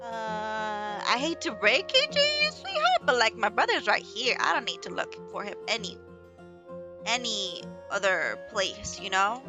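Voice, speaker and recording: A woman's voice: a little high, very animated, measured pace. A young woman commenting on a game in a sarcastic, blunt way, with mumbled asides. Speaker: gamer. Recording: voice over loud game music.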